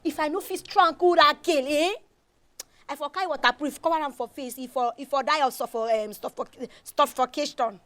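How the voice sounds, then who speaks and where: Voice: high-pitched, fairly even intonation, brisk, fairly bright tone. An actor playing an aggrieved young hothead with a Nigerian accent, indignant and tripping over repeated words. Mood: aggrieved, vengeful. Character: aggrieved hot-headed young schemer, ranting about revenge. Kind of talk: acted Nigerian Pidgin comedy dialogue.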